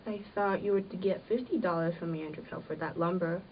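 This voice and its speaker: A woman's voice: fairly low pitch, fast talker, a little hiss or hum, natural voice. A woman playing a sickly, bitter, complaining farm wife with old-fashioned rural phrasing in an amateur period drama. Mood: reproachful. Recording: muffled audio, distant microphone.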